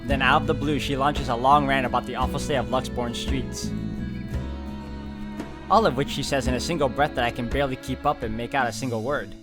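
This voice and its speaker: A male let's player with a higher-than-deep, middle-range voice reads story text aloud from a game. Game music plays clearly behind him, and he drops in personal asides. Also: fairly even intonation, a little fast. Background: background game music.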